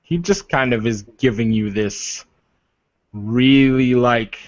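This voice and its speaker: A man narrating a tabletop roleplaying game as game master, halting and searching for words. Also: medium pitch, dry recording, dull audio.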